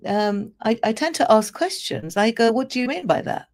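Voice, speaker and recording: A woman with a croaky, hoarse voice, talking as a guest in a thoughtful, questioning way. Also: on the high side, animated, moderate pace, a little mellow. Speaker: guest in an audio room. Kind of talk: casual chat.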